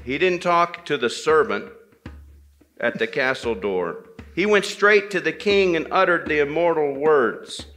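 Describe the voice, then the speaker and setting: A man telling a folktale aloud to listeners, deliberate and repeating phrases for dramatic effect. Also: on the high side, animated, fairly bright tone. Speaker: storyteller at a men's gathering. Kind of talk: live oral storytelling of a folktale, dramatic pauses and repetition.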